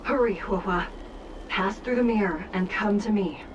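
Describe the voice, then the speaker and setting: An actress playing a stern, composed female judge in an anime-style game, formal and measured in delivery. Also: mid-range voice, dull audio, noisy background, lightly acted. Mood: coaxing, commanding.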